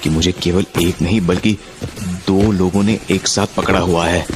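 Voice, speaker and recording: A man telling a horror story in the first person, suspensefully, with drawn-out dramatic pauses. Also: sits low, fairly even intonation. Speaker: narrator of a horror story, voicing its main character. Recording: music behind the voice. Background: music.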